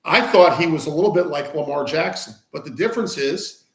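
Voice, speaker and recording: A middle-aged Midwestern man gives casual sports analysis as a panelist. His voice is slightly high, and the sound is roomy and echoing. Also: a little fast. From Iowa, US Midwest.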